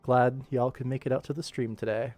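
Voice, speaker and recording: A man's voice: mid-range voice, steady tone, a little fast, a little mellow. A man chatting casually as he hosts a working animation livestream. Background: faint background music.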